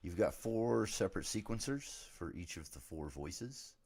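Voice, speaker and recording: Deep raspy guy streaming, kinda hoarse, relaxed and rambly, dark tone. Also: a little slow. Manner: relaxed, rambling with many fillers. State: raspy throat from illness. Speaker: live streamer demoing gear. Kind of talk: casual live stream walkthrough.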